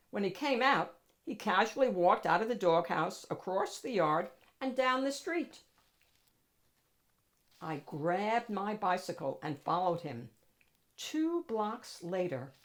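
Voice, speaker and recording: A woman reads a children's picture book aloud as host, with expressive, dramatic emphasis. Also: fairly low pitch. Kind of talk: animated storybook narration for children.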